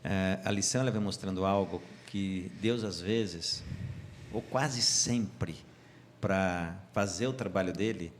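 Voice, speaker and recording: A man's voice: low-pitched, slow, a little hiss or hum. A man from Brazil leading a Sabbath school lesson on a church channel, chatty and joking with his co-hosts. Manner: chatty and warm, sending greetings and joking. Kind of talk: casual Bible study chat.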